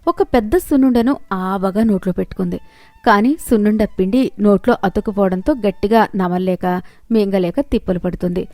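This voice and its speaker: A woman's voice: mid-range voice, brisk, mellow, no echo. A woman reading a scripted moral story as its narrator.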